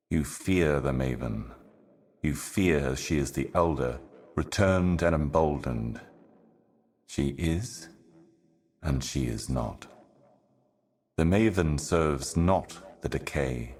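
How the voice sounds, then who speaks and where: Ancient cosmic herald, abyssally low pitch, slow deliberate delivery, solemn, cryptic, portentous, video game monologue. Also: male voice, a little mellow, heavily acted. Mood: cryptic, foreboding. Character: ominous ancient otherworldly herald serving cosmic powers.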